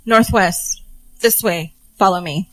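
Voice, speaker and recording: A woman's voice: on the low side, slow pace, fairly bright tone, low-quality recording. A woman acting a confident tracker and fighter in an audio drama.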